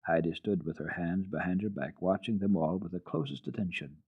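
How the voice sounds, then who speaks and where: A man giving an expressive dramatic reading of a children's book on the radio. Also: sits low, brisk, husky. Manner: expressive, lilting storytelling. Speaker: radio storyteller. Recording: tinny old radio recording.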